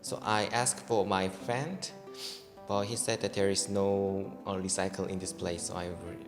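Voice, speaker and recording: A man's voice: low voice, fairly even intonation, moderate pace, long reverb tail, music in the background. A man in his twenties from South Korea, an exchange student answering interview questions hesitantly, searching for words. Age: 20s.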